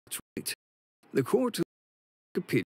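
A man playing an older, formal and apologetic gentleman supplier with a husky voice in a video game. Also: a little slow, no room sound, close to an everyday voice. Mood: uneasy.

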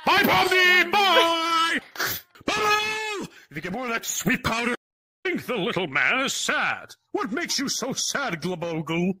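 A man's voice: very high voice, very animated, unhurried, sharp tone, exaggerated voice. A man voicing a cheeky male troublemaker who gives rambling, shady directions in a comedy roleplay. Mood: mischievous.

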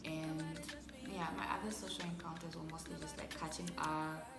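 A woman's voice: low voice, little pitch movement, moderate pace, creaky. Chatty young woman vlogging over music. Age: young adult. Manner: chatty, filler-heavy, laughs often. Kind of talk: casual chat to camera. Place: bedroom at home, indoors. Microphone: phone or camera at arm's length.

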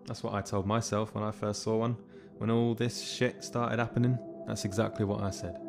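Weary young soldier played by a male actor, low, dry and sarcastic, audio drama with music underneath. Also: little pitch movement, moderate pace, vocal fry. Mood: sardonic, insistent.

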